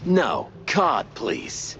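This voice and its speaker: A man voicing a gruff, officious mouse guard in a cartoon game, curt and bossy. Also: mid-range voice, wildly swooping pitch, very slow, background noise, exaggerated voice. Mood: curt, dismissive. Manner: clipped, bossy, secretive. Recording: muffled, guitar music behind.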